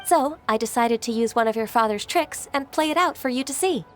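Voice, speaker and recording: Woman as gentle young secretary, fairly high voice, polite, composed, modest, formal, anime game dub, music underneath. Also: close to an everyday voice. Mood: composed explaining. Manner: polite, measured, soft-spoken formality. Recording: music behind.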